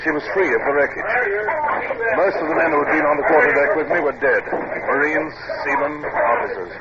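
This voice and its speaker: A man's voice: fairly high pitch, a little fast, lightly acted. An actor playing a grave British naval captain who narrates his battle in dramatic first person for 1950s radio. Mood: grave, tense. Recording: noisy muffled old radio recording.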